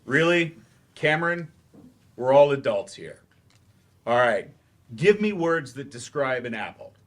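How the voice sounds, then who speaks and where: A man acting in a staged play reading as a patient, slightly awkward English teacher who explains in measured steps with hesitant asides. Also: mid-range voice, sing-song, a bit bright. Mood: mildly exasperated.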